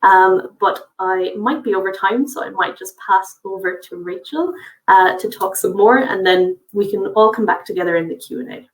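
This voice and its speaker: A woman from a college's international office talks through a webinar presentation in a friendly, informative way, filling pauses with uh and um. She sits back from her microphone, and the room rings around her voice. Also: medium pitch, echoey room, far from the mic, rough recording. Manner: friendly, informative, frequent uh and um fillers.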